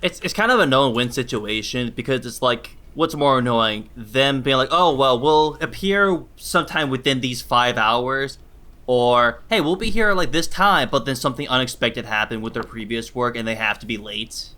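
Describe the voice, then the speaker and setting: A man's voice: medium pitch, normal speed, bright, forceful tone. A man co-hosting a podcast, chatting in an easygoing way and often restarting his sentences. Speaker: podcast co-host. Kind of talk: casual chat.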